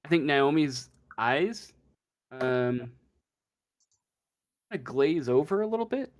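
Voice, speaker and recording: A man improvising as game master in a tabletop game, speaking haltingly and tentatively as he searches for words. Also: medium pitch, expressive.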